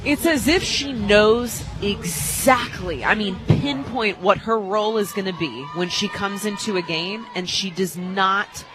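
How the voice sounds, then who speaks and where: An American woman serves as color analyst in a sports radio booth. Her voice sits at a medium pitch, and she talks casually with emphatic phrasing. Music can be heard behind her. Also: a little slow, few pauses, low-quality recording.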